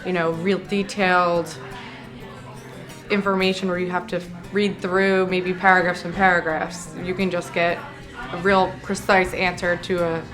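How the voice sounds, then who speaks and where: A woman from New Jersey, a site supervisor, answering interview questions in a chatty, measured way. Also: on the low side, level tone, music in the background.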